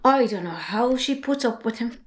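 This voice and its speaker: A woman's voice: on the high side, animated, normal speed, natural voice. A woman playing a defiant, sardonic young farmer's daughter with an Irish accent in an amateur drama. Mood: frank. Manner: sardonic, outspoken.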